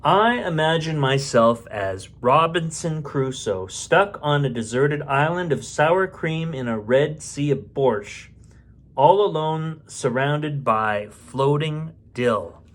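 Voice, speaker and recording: A man's voice: fairly high pitch, animated, slow pace. A man reading a storybook aloud expressively, with casual muttered asides as he goes. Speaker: bedtime story reader.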